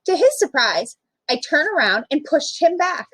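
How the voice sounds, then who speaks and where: Animated, high-voiced woman reading a storybook. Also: normal speed, dry recording, rough recording. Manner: animated, emphatic storytelling for young children. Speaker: storytime reader, literacy program manager. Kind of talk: expressive children's picture book read-aloud.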